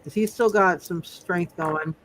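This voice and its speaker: A game master casually refereeing a tabletop roleplay session, thinking aloud in repeated, halting phrases. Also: mid-range voice, a bit bright, a little hiss or hum, poor audio.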